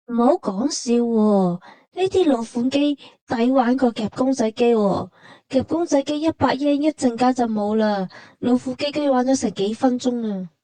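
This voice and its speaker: A woman with a Hong Kong Cantonese accent gives casual travel narration in a relaxed, mellow voice that sits a little high. Her delivery is colloquial and matter-of-fact, like scripted banter between companions. The recording is close and dry. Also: no echo.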